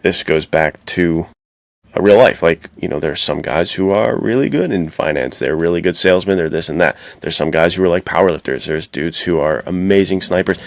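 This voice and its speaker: A man's voice: sits low, fairly quick, vocal fry, no echo, studio quality. A man co-hosting a podcast in casual, slangy chat, giving his opinions. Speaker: podcast co-host.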